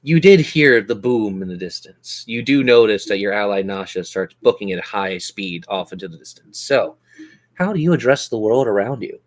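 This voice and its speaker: A man's voice: on the low side, lively intonation, normal speed. A man narrating a tabletop role-playing game as game master, with theatrical, descriptive storytelling.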